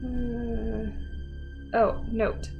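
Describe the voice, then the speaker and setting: Woman host, semi-dramatic story reading, informal and wry, very lively intonation, music clearly behind. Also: a little high, extremely slow, muffled recording. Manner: wry, informal, breaks off to comment. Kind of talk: semi-dramatic read-aloud with casual asides.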